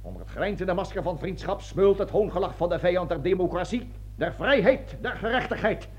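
An actor playing a pompous, fanatical army colonel with a declamatory manner in a satirical radio play. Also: male voice, high voice, expressive, fairly quick, husky, faint music behind. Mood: bombastic, accusing. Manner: declamatory speeches, barking orders, stammering when flustered. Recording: dull lo-fi radio drama recording.

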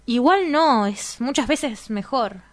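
A woman's voice: fairly high pitch, lively intonation, slow, no room sound. A woman with an Argentine accent playing a prim, sensible young woman in a radio drama, earnest and questioning. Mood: insistent, reproving. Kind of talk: scripted radio drama acting.

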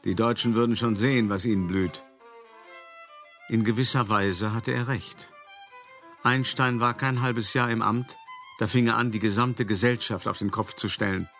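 A low-pitched, cultured older art dealer tells his story in a radio play monologue. He is wry and conversational, speaking straight to the listener, with music clearly behind him. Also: steady tone, moderate pace, a bit bright. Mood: reflective. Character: worldly, cultured old art dealer reminiscing about his schemes. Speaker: narrator.

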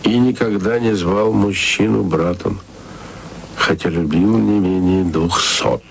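An actor playing a tender, literate lover in an intimate radio-play monologue, with theatrical, drawn-out phrasing. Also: male voice, deep voice, vocal fry, slight background noise, full character voice, low-quality recording. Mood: musing, wry. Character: tender, musing literate lover speaking to his beloved.

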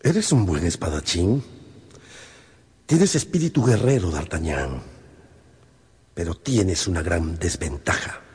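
Radio drama villain: imperious cardinal, heavily rasping, deliberate, menacing, in long reverb. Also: male voice, sits low, animated, a little mellow, heavily acted. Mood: indignant, threatening. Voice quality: husky, raspy. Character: haughty, menacing powerful male cardinal. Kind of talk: Spanish-language radio drama acting.

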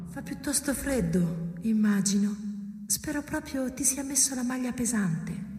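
An actress playing a solicitous, faintly detached and teasing wife in a radio drama, coaxing softly and slipping into breathy whispers. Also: female voice, fairly high pitch, animated, a little slow. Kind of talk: theatrical radio drama dialogue. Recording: echoey reverberant sound with clear background noise.

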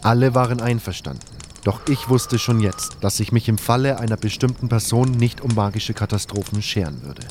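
A man's voice: fairly low pitch, brisk, darkish tone, slight background noise. A man narrating a fan radio play in the first person, in a dramatic storytelling style.

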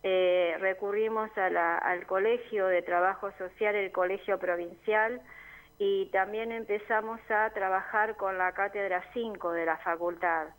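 Argentine woman, radio guest, mid-pitched, earnest, explains steadily with fillers. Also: fairly even intonation, a little slow, rough recording. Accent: Argentine Spanish. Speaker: neighborhood assembly member, guest. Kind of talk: radio interview. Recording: community radio studio.

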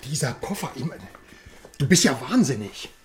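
An actor playing a brash, cynical, nosy journalist in a radio crime drama, colloquial and theatrical, swinging between muttering and outbursts. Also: male voice, mid-range voice, sing-song, moderate pace, faint music behind, natural voice. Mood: agitated, indignant.

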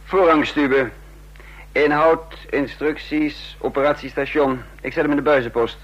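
A man playing a brisk, scheming intelligence chief in a Dutch radio play, curt and commanding, with a husky voice. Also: fairly high pitch, fairly even intonation, muffled recording. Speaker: radio drama lead and narrator. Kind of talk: Dutch radio play acting, dialogue and narration.

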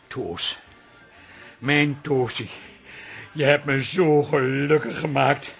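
An actor playing a doting, naive husband in a Dutch radio play, speaking earnestly and sentimentally. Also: male voice, medium pitch, steady tone, slow pace, gravelly, breathy edge, faint music behind, exaggerated voice. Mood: delighted, tender.

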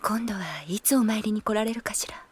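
A woman playing a reserved, world-weary young wanderer, an elder sister, in a Japanese audio drama, soft and restrained. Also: mid-range voice, flat delivery, a little fast, slightly rough, natural voice. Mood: wistful.

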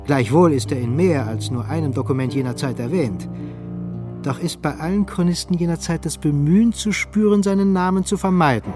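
A man narrating a radio play in a measured, literary and dramatic manner. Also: fairly high pitch, over music.

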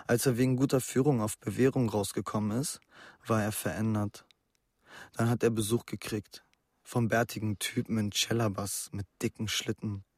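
A man's voice: on the low side, fairly even intonation, moderate pace, no echo, natural voice. Anxious schoolboy, played by a man, dry sound. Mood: confiding, troubled. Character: anxious schoolboy worried about his older brother. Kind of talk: naturalistic radio drama dialogue.

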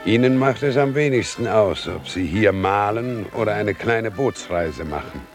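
A man acting in a German radio play as a thoughtful, cautious expedition member with a deliberate delivery. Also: on the low side, animated, over music.

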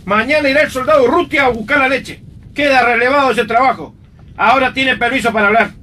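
A man's voice: fast, sharp tone, heavily acted. A man playing a stern, scolding wartime army sergeant in a radio play, barking orders. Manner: barking, commanding. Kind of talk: amateur radio play acting. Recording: noisy, distant mic with rumble.

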